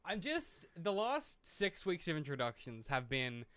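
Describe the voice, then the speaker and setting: A man's voice: a little high, wildly swooping pitch, measured pace, a bit bright, dry recording. A man co-hosting a film podcast, talking casually in a dry, rambling way. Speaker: podcast co-host. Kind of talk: casual film discussion, sometimes reading a plot summary.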